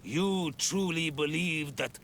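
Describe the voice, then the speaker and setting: Slow, gravelly, scornful warrior. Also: male voice, a little high, fairly bright tone, slight background noise. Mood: angry, scornful. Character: hostile, scornful male clan warrior. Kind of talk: video game dialogue.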